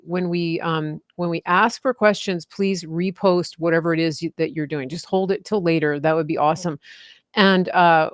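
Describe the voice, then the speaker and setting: The host of a casual livestream, a woman of sixty with a smooth, clear voice in a dry room, chatting informally with guest and viewers. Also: fairly low pitch, no echo. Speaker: live show host. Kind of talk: casual unscripted chat.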